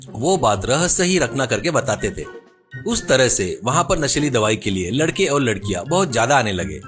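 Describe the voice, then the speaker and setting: A man's voice: medium pitch, a bit bright. A man narrating a scripted moral story for a cartoon.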